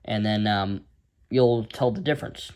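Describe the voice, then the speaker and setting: An American man giving a casual, unscripted product demonstration as an amateur reviewer, rambling and halting in an informal way. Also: on the low side, a little mellow. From United States.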